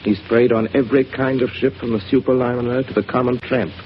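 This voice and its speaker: A calm gentleman detective played by a man, measured and confident, with a medium voice. The audio is dull and old-fashioned, like a 1930s radio broadcast, with a faint hiss. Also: mid-range voice, lightly acted. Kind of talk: 1930s radio drama acting.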